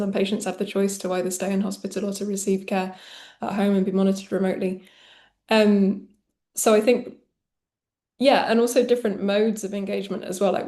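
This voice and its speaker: Woman answering in an even, flat tone. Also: mid-range voice. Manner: quick and fluent, with "like" and "um" fillers. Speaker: panel presenter. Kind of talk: answering a question in a webinar.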